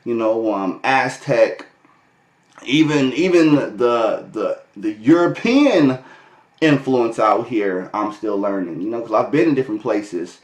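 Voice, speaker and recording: A man's voice: medium pitch, animated, unhurried. American guy vlogging, easygoing rambling talk, restarts a lot, room echo all around. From United States. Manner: loose and conversational, many fillers and restarts, laughs often. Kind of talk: casual vlog monologue. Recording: echoey room.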